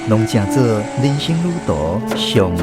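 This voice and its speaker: A man with a Taiwanese accent giving expressive, scripted storytelling narration for a radio drama. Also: fairly low pitch, measured pace. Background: music.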